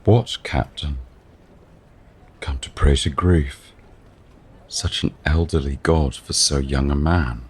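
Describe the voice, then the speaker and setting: An actor playing a lonely, wounded god of death in an audio drama, musing theatrically in formal, archaic phrases with a gravelly, husky voice. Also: male voice, very deep voice, steady tone, slow pace, long pauses, exaggerated voice. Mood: wry musing.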